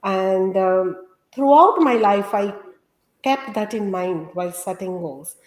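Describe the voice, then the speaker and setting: A woman's voice: medium pitch, takes it slowly. A woman from India, a Gujarati speaker, explains her ideas earnestly as an interview guest and health coach. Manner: earnest, explanatory, steady teaching tone. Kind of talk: conversational interview. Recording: echoey room sound.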